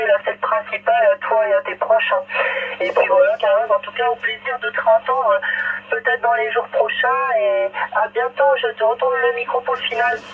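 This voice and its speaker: A friendly woman chatting casually as an amateur operator over a CB radio link. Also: on the high side. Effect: CB radio transmission. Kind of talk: casual radio sign-off chat. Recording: tinny noisy CB radio link.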